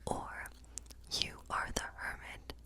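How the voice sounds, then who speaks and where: Casting: woman to play a kindly cottage witch for ASMR fantasy role play, all in breathy whisper, gentle and musing. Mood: soothing, reflective. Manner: slow, gentle, musing, often repeating phrases. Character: kindly woodland witch reading tarot for a guest. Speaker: ASMR performer.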